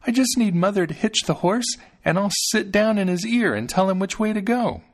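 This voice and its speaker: A man's voice: high-pitched, expressive, moderate pace, voice pushed up. A man voicing a tiny, clever, confident boy in a storybook reading, chirpy, insistent and full of exclamations. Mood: eager, confident. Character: tiny, clever, confident thumb-sized boy, eager and cheeky.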